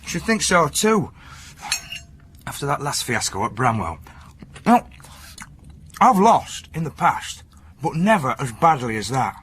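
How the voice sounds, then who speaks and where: A contemptuous, bullying man in a radio drama. His sarcastic, belittling voice sits fairly high and swings widely in pitch, with faint noise behind. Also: big pitch swings, unhurried, pauses often, a bit bright. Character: Colin, bullying, contemptuous, nitpicking male partner.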